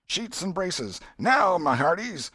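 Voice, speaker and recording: Boy pirate captain played by a male narrator: raised pitch, grand theatrical commands, storybook adventure, dry recording. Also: big pitch swings, takes it slowly, sharp tone, breathy edge, dry recording, full character voice. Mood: commanding, rousing. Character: bold adolescent boy playing pirate captain. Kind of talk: audiobook character acting.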